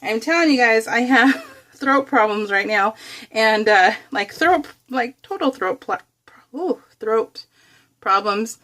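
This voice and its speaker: An American woman with a slightly high voice chats casually to her viewers. She is giggly and self-deprecating, often laughing through her words. Her talk rambles loosely, like an informal craft vlog. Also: expressive, slow, a bit bright. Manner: self-deprecating, wanders off topic. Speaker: craft vlogger.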